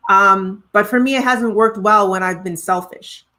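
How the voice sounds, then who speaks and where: A middle-aged woman with a Trinidadian accent talks through her ideas in an earnest, reflective way. She often restarts a phrase as she thinks. Her voice sits at an ordinary middle pitch for a woman. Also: moderate pace, pushed and bright. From Trinidad and Tobago. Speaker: guest, professional singer. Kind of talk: conversational interview.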